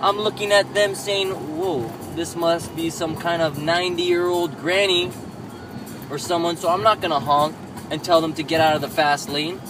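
Man, thirties, high voice, lecturing rant from the driver's seat, dash cam, music under it. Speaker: vlogger. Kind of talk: ranting vlog while driving. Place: inside a moving car. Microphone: camera mounted on the dashboard.

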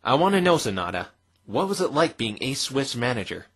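A woman's voice: rumbling low, big pitch swings, brisk, very noisy. A woman voicing an earnest, easily flustered young male defense lawyer in a visual novel dub, stammering at times. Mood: probing. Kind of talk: visual novel fandub acting.

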